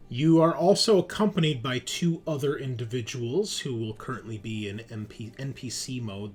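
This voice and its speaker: A man narrating a tabletop roleplaying game as game master, in an informal, halting way. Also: mid-range voice. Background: music.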